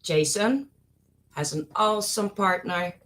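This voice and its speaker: A woman's voice: mid-range voice, unhurried, fairly bright tone. A woman with a Dutch accent chatting on a live stream in a mid-range voice. She teases, giggles and speaks her mind plainly. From Netherlands. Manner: teasing, giggly, outspoken. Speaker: co-host, behind-the-scenes organiser. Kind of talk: casual live-stream chat.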